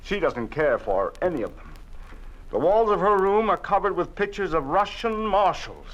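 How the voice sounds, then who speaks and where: An actor playing a weary middle-aged father in a 1950s radio drama, sometimes shouting sternly. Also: sits high, very animated, moderate pace, highs cut off.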